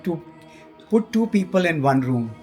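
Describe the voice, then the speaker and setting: A man who is a musician and teacher, talking in a relaxed way and retelling stories. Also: fairly high pitch, normal speed, faint music behind. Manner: relaxed, recounts stories with quoted speech. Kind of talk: casual conversation.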